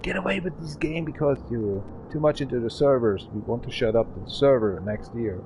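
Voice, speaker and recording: A man's voice: medium pitch, expressive, fairly quick, darkish tone, a little hiss or hum, poor audio. A man in his thirties streaming a game, rambling and swearing in a frustrated way. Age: 30s. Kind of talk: casual gaming stream chat.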